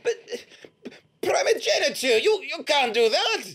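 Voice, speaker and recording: A theatrical, hapless soldier hero, acted by a man for a staged audio comedy. The very high, creaky voice swoops wildly between indignant spluttering and dry asides. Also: very animated, normal speed, fairly bright tone, exaggerated voice. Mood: indignant, panicked. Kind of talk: live audio-drama comedy performance. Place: small theatre stage before an audience. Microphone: stand microphone.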